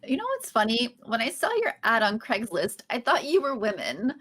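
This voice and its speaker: Woman acting awkward young sitcom woman, highish animated voice, breathy edge, chatty. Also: moderate pace, heavily acted. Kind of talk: sitcom table read.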